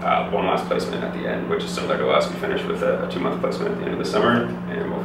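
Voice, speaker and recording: A young man from Canada, a physiotherapy student, explaining things in a steady, easygoing way in an interview. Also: on the low side, very fast. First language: English. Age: young adult. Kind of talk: casual interview answers. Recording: echoey room, distant mic, background noise.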